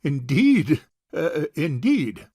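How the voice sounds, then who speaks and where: Fussy old bachelor uncle for stage farce: high-pitched, gravelly, pompous, miserly. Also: male voice, huge pitch swings, drawn-out words, warm tone, dry recording, clean studio sound, full character voice. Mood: pompous, awkward. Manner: pompous, hesitant formal speech. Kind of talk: comic stage farce acting.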